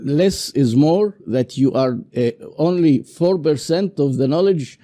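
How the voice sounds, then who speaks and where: Casting: male interviewer with an Arabic accent, very mellow dark tone, hesitant English, many pauses. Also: a little high. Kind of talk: interview questions.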